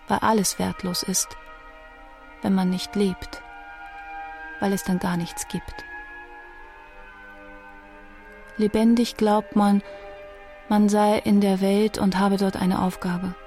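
Actress as a dying young musician, subdued and despairing. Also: female voice, mid-range voice, dark tone, lightly acted. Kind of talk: radio drama monologue.

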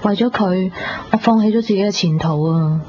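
A woman's voice: mid-range voice, normal speed, lightly acted. An actress playing a wry, sentimental young woman narrating her love story in a radio drama, with a Hong Kong Cantonese accent. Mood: bitter, scornful. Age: young adult. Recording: muffled radio drama tape with music.

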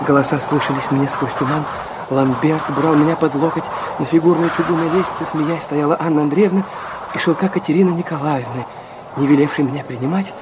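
An actor as an intense, high-voiced young man. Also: steady tone, fairly quick, heavy background noise, close to an everyday voice. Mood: delirious, entranced. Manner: intense, brooding, theatrical. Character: feverish, proud, impressionable young man recalling his torments. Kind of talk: dramatic first-person radio play narration.

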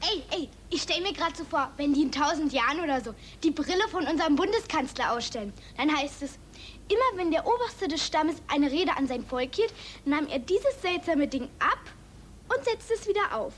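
Cheeky, high-voiced schoolgirl. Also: expressive, normal speed, a little hiss or hum. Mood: cheeky, curious. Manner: cheeky, colloquial, exclaiming. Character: cheeky, chatty schoolgirl, curious and joking. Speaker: performer in a German audio drama. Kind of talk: German children's audio drama acting.

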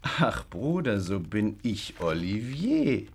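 A swaggering highwayman in a radio drama. He is cynical and emphatic, speaking slowly in a slightly low voice that swings widely in pitch. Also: very animated, gravelly, slightly rough, full character voice. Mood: bragging, familiar. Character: boastful, cynical highwayman, rough former secretary turned robber.

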